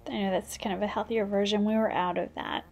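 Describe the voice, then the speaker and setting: A woman's voice: mid-range voice, fairly even intonation, brisk, a little mellow, soft background music. Chatty mom narrating her cooking. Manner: chatty, practical, explains tips as she goes. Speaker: stay-at-home mom cooking vlogger. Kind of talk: casual cooking voice-over.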